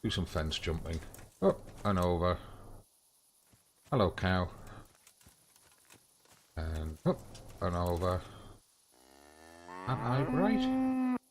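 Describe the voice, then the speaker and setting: A man's voice: low voice, sing-song, drawn-out words, long pauses, slight background noise. A man giving casual live commentary on a video game, thinking aloud in short muttered phrases. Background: video game music.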